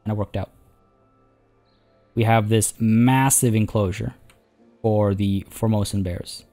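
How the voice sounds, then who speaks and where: A man with a lowish, mellow voice, hoarse from hours of talking, rambles casually through a let's-play session. He often restarts his sentences and fills the gaps as he goes. Soft music plays faintly behind him. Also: steady tone, slow. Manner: rambling, chatty, many restarts and fillers. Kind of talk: casual gameplay commentary.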